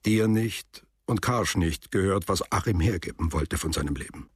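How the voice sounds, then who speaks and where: A man narrating a literary radio drama in a measured, formal way, with music behind him. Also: low voice, husky, no room sound, studio quality.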